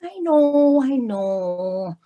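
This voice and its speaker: A woman's voice: on the high side, sing-song, drawn-out words, mellow, highs cut off, voice pushed up, exaggerated voice. A woman voicing a sheepish adult uncle, evasive and pleading, in a children's storybook. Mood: contrite. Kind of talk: storybook read-aloud.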